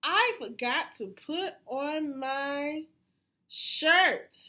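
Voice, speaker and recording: A woman voicing an eager young boy frog from a storybook, exclaiming in a singsong, excitable way. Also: sits high, extremely slow, exaggerated voice. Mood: dismayed.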